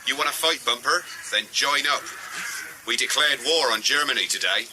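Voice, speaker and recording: An actor playing the sober, authoritative leader of a group of village dancers in a radio play, firm and challenging, with a rural southern English accent. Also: male voice, mid-range voice, moderate pace, lightly acted. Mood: grave, challenging. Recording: noisy ambience behind.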